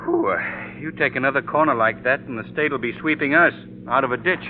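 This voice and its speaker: A man's voice: medium pitch, a little fast, a little hiss or hum, natural voice. An actor playing a wry, wealthy young man about town in a 1930s radio drama, with a dry, teasing manner. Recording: tinny old radio recording.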